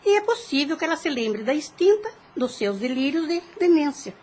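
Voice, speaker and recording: A woman's voice: sits high, very animated, moderate pace, a little mellow. A woman playing a formal, inquisitive, calculating mother and would-be heiress in a theatrical audio drama style. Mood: inquisitive, suave. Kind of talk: religious audio drama acting.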